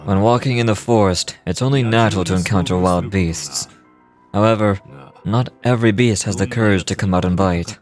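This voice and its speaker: Male actor as commanding warlord, low voice, solemn, measured, cold, dramatic game acting. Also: soft background music, lightly acted. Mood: stern, measured. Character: grave, commanding male warlord, coldly resolute.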